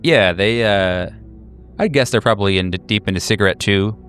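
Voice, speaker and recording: A man's voice: fairly low pitch, normal speed, a bit bright, faint music behind. A man improvising narration as game master, casual and hesitant, rephrasing as he goes.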